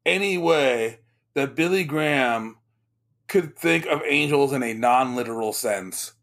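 A man chatting casually about a book, wry and often laughing, halting with restarts. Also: medium pitch, fairly bright tone. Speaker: podcast co-host.

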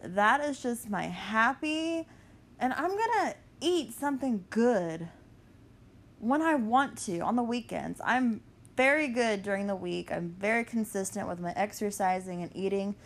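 Slow, blunt, sweary woman podcaster. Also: medium pitch. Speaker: podcast host. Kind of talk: casual solo podcast chat.

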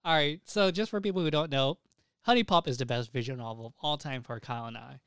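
Young man, podcast host, casual chat, enthusiastic, sweary, smooth clear voice, dry close sound. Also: medium pitch, quick pace, fairly bright tone, dry recording. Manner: enthusiastic, informal, profane.

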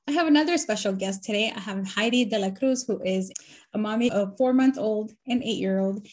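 A woman's voice: medium pitch, a little fast, darkish tone. A woman from Nicaragua hosting a podcast interview, upbeat and chatty. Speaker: podcast host. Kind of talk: casual interview chat.

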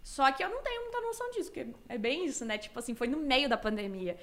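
A woman's voice: high-pitched, animated, quick pace. A young Brazilian woman from Cuiabá in her twenties, chatting as a streamer guest in slangy talk with frequent laughs. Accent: Brazilian, mixed Cuiabá and São Paulo. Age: 20s. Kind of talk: casual chat.

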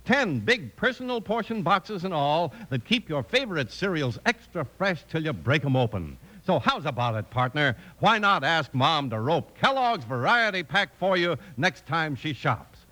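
A man reading a 1950s radio advertisement as a folksy cowboy-style sales pitch. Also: sing-song, moderate pace, hardly any pauses, pushed and bright. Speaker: commercial announcer.

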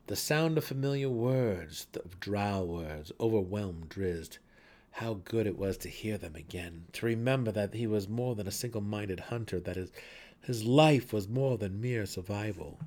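A man's voice: lowish voice, measured pace, darkish tone. A man reading scripted fantasy narration in a dramatic, intense and theatrical way. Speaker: audiobook narrator.

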